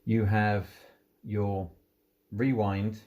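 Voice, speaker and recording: A man's voice: fairly low pitch, little pitch movement, extremely slow, highs cut off. A man giving an informal hands-on product walkthrough, explaining things unhurriedly, step by step. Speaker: presenter demonstrating audio equipment.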